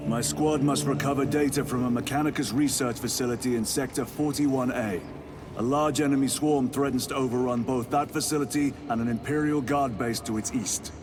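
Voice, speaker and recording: A man's voice: mid-range voice, steady tone, fairly quick, over music, natural voice. A man playing a stoic, commanding space marine lieutenant in a video game, with terse, formal military delivery. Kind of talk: video game cutscene acting.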